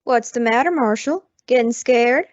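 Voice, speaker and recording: A woman's voice: a little high, normal speed, dry recording, lightly acted. A young woman acting a hard, vengeful old widow in a radio Western, curt and scornful. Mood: scornful, cold. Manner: curt, scornful, rustic grammar. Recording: dull, dry lo-fi recording.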